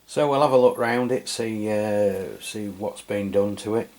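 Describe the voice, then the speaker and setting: A man's voice: fairly low pitch, measured pace, a little mellow. A man giving a casual hands-on review as host, talking in a hesitant, rambling, matter-of-fact way.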